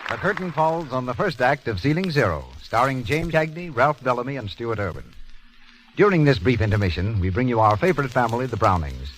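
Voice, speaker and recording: A male announcer delivering polished, scripted introductions and sponsor pitches in 1930s radio style, on an old broadcast recording. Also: sing-song, fairly quick, crowd noise, low-quality recording.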